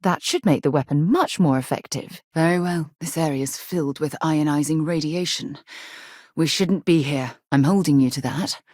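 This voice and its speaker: A woman's voice: sits low, moderate pace, no room sound, clean studio sound. An actress playing an earnest, principled woman leader of explorers in a video game, speaking formally and expressively. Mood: composed. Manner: articulate, formal, emotionally expressive. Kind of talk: video game dialogue acting.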